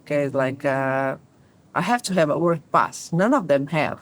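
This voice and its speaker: A woman, an engineering professor, chatting as a guest and speaking English as a with many restarts and stops. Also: deep voice. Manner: halting, many restarts, animated when telling stories. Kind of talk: casual conversation.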